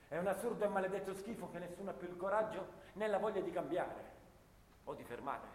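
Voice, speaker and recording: An actor playing a disillusioned eighteen-year-old volunteer soldier of the First World War in a theatrical, declamatory monologue. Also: male voice, sits high. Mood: bitter, disgusted. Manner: impassioned, rhythmic, declamatory, piling up lists. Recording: reverberant radio-theatre sound with guitar music.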